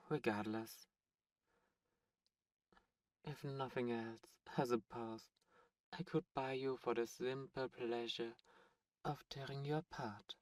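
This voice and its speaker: Slow-talking, taunting demon played by a man. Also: mid-range voice, steady tone, no room sound, far from the mic, lightly acted, rough recording. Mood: smug, menacing. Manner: taunting, deliberate, drawn-out delivery with pauses. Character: smug teasing male demon buyer at a captive auction. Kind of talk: ASMR roleplay audio drama.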